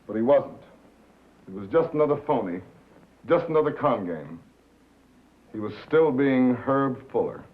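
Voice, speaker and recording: An actor playing a wry, disillusioned American radio reporter in a 1950s film drama, speaking in a terse, dry way. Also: male voice, mid-range voice, expressive, a little slow, long pauses, narrow, tinny audio, lightly acted. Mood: bitter. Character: wry, disillusioned male radio reporter, tough and self-possessed. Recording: thin, boxy old film soundtrack.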